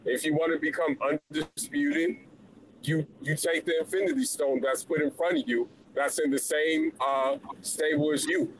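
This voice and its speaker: A man calling in to a casual chat, measured, asking before he speaks and leaning on "you know". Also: fairly high pitch, steady tone, a little hiss or hum, rough recording. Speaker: caller. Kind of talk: casual debate on a call.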